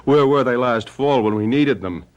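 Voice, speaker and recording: An actor playing a charming, persuasive husband in a radio drama, with a smooth, playfully gallant manner. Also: male voice, medium pitch, normal speed, muffled recording, lightly acted. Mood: coaxing. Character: charming, persuasive, spendthrift husband wheedling his actress wife.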